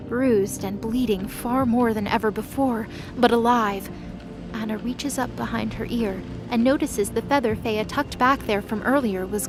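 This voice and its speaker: A woman reading scripted dramatic story narration as the narrator of a fantasy audio drama. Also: on the high side.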